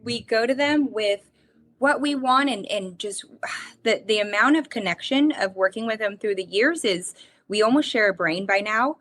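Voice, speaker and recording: A woman's voice: medium pitch, moderate pace, a bit bright. A woman guest in an interview, talking expressively and searching for words. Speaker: guest, drinks company team member. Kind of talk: casual interview talk.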